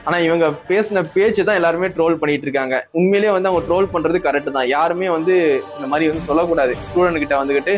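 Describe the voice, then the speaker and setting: A man talks very quickly in a slightly high voice. He gives casual, conversational commentary, repeating himself and checking in with short tag questions. Music plays behind him. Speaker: online commentator.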